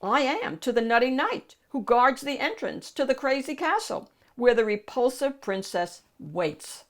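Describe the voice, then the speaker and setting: A woman's voice: sits high, sing-song, measured pace, pitched above the performer's own voice. A woman voicing a sleepy, lazy male donkey who speaks in singsong storybook rhyme. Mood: obliging. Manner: singsong rhyming recitation. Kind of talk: storybook character voice.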